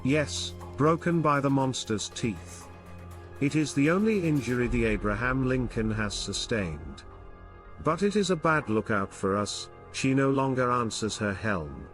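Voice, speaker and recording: A man voicing a calm, loyal young manservant who speaks with formal, deferential politeness in an audiobook. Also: medium pitch, a little slow, faint music behind.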